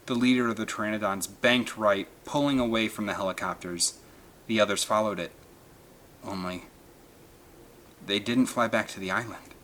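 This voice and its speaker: Male narrator, dramatic adventure audiobook read, crisp forceful tone, faint noise in the background. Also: on the low side.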